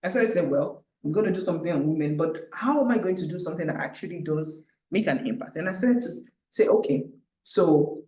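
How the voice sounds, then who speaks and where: Woman, panel speaker, lively storyteller who voices her own thoughts. Her voice is miked from a distance and fills a reverberant room. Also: fairly low pitch. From Nigeria. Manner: animated storytelling, acts out her own thoughts, tags lines with "right?". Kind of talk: personal talk at a public event. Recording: echoey room, distant mic.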